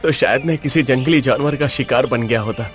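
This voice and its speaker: A man's voice: mid-range voice, brisk, soft background music, lightly acted. A man voicing an earnest, sorrowful young prince in a cartoon story, speaking formally and with feeling. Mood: grateful, earnest. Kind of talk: dramatized cartoon story dialogue.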